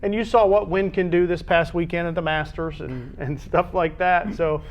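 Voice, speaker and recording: A man's voice: fairly high pitch, fairly even intonation, normal speed, muffled recording, a little hiss or hum. An American man co-hosting a casual discussion about sports. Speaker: co-host.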